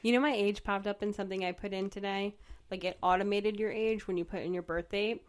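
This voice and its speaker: A woman's voice: mid-range voice, fairly even intonation, quick pace. A woman in her thirties chatting casually as a podcast co-host, teasing and swearing freely. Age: 30s. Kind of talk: casual comedic chat.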